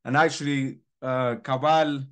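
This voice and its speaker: A young man from Colombia with a British accent, presenting his research in a measured, earnest academic talk. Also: mid-range voice, steady tone, smooth.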